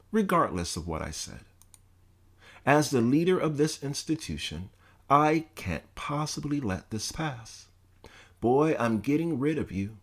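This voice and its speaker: Man voicing a stern, scornful college president. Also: mid-range voice. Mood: commanding. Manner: scolding, contemptuous, commanding. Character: stern domineering older male college president. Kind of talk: audiobook character voice.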